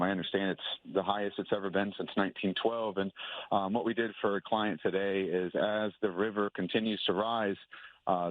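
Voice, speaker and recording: A man, a moving company owner, speaking on a phone interview, halting with many ums and restarts. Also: sits low, no room sound. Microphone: phone line. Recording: phone line audio.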